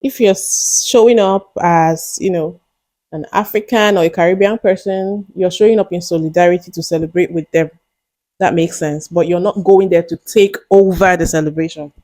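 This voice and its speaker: A woman's voice: fairly low pitch, steady tone, moderate pace, mellow, dry recording. A woman hosting a video, giving casual commentary to her viewers. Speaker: video host.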